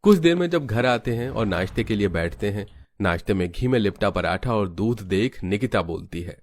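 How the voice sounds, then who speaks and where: A man's voice: on the low side, expressive, moderate pace, a little mellow, slight background noise. A man narrating a scripted family cartoon story.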